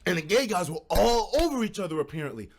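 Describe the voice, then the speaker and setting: A man streaming casual, animated stories to a live chat, with slangy, profane phrasing and dramatic emphasis. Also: high-pitched, fast talker, gravelly. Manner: profane, slangy, acts out quoted dialogue; dramatic emphasis and repetition for suspense.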